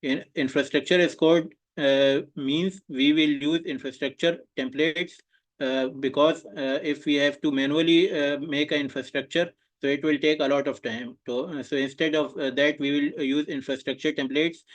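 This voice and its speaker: A young man delivers an exam presentation over a video call, his voice sitting a little high. He pushes through each point hesitantly, filling the pauses with frequent uhs. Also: steady tone. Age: young adult. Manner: hesitant, many filler uhs. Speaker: student presenter.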